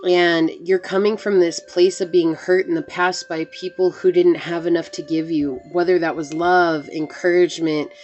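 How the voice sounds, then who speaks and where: A woman's voice: on the low side, little pitch movement, normal speed, hardly any pauses, darkish tone, highs cut off, faint music behind. A woman giving an earnest, reassuring collective tarot reading to camera, talking straight to her viewers. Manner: earnest, reassuring, addresses viewers as "you guys". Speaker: tarot reader.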